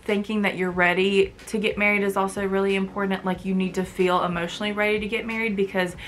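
A woman's voice: mid-range voice, flat delivery, quick pace, fairly bright tone, slight background noise. Chatty young woman vlogging from her room. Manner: chatty, filler-heavy, frank. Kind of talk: casual chat to camera. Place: bedroom at college, indoors.

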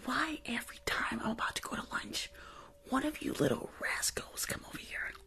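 A young woman playing a rude, weary school nurse in an ASMR roleplay, grumbling in a breathy whisper close to the mic. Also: high voice, animated, a little fast, bright, forceful tone, full character voice. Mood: exasperated. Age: young adult. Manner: sassy, grumbling, rambling. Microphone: close foam-covered microphone on desk.

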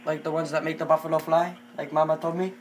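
A man's voice: on the high side, steady tone, fairly bright tone, lightly acted. A slightly noisy bedroom recording of a young man putting on an earnest Indian man making a prank call. He is extremely polite and apologetic. He halts and fills his sentences with ums. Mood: earnest, hesitant. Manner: halting, apologetic, many ums. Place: small bedroom. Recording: noisy amateur phone-video recording.

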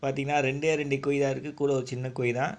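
A man's voice: medium pitch, flat delivery, rapid-fire. A man giving casual, enthusiastic vlog commentary as a channel host, often addressing his viewers directly while filming by hand. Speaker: vlogger, aquarium channel host.

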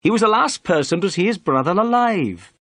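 A man's voice: sits high, expressive, brisk, a bit bright, no room sound, voice pushed up, full character voice. A man voicing a pompous, self-important police inspector in an audiobook detective story, with an insistent, brusque manner. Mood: pompous, certain.

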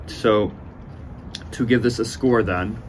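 Young guy in his 20s, lowish voice, chatting thru a review outside, bit of outdoor noise behind. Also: slow pace. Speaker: cigar reviewer, host. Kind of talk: casual review vlog, talking to camera. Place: outdoors on a sunny porch by windows.

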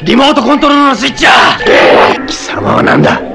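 Fierce, gravelly young superhero, loud music. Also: male voice, extremely high pitch, wildly swooping pitch, fairly quick, crowd noise, heavily acted. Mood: defiant, urgent. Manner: emphatic, terse, commanding. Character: fierce, righteous young male jungle superhero. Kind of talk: 1970s Japanese tokusatsu hero acting. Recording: vintage TV soundtrack with loud music.

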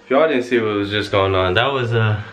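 A man's voice: on the low side, fairly quick, over loud music. A young man casually talking to his phone camera at home, rambling and slangy as he wraps up his vlog. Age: young adult. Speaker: vlogger. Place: indoors at home, small room. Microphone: phone held at arm's length.

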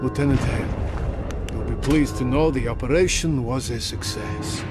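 Actor as grave older military scientist, loud music. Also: male voice, moderate pace, natural voice. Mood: solemn, urgent. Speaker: military doctor. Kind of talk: video game cutscene acting. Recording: loud game music and rumble behind.

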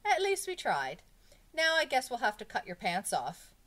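A woman voicing a brisk, exasperated woman schoolteacher in a children's storybook, firm and matter-of-fact. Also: a little high, huge pitch swings, fairly bright tone, pitched above the performer's own voice. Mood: resigned.

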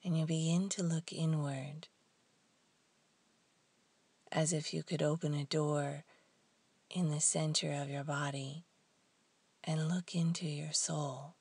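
A woman leading a guided meditation in a soft, hushed, breathy voice, leaving long pauses between short phrases. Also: deep voice, steady tone, no echo.

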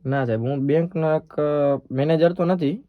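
A man's voice: fairly high pitch, normal speed, no room sound, narrow, tinny audio. A man with a Saurashtra Gujarati accent making a prank call as a polite, persistent bank employee. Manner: polite, persistent, official-sounding. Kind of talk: prank phone call in casual dialect.

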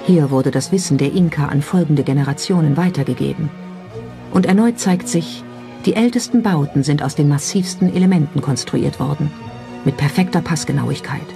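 A documentary narrator with a middle-register voice delivers scripted narration. Music plays clearly underneath throughout. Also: mid-range voice, moderate pace, dark tone. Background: background music.

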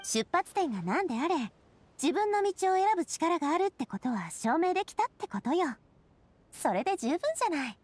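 A woman's voice: extremely high pitch, sing-song, moderate pace, darkish tone, dry recording. A woman voicing a confident, straight-talking young female ruler in a Japanese video game, encouraging and casually frank. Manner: casual, frank, assured speech.

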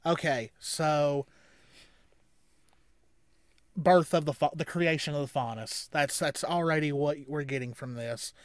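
A man in his thirties giving casual, rambling reaction commentary as a co-host, halting and repeating words as he explains. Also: on the high side, dry recording. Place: small indoor room at home.

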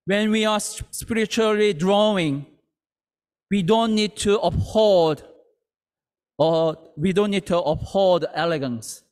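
Slow earnest pastor in a reverberant hall. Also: male voice, high-pitched. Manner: slow, earnest, with halting restarts. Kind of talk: sermon. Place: church sanctuary.

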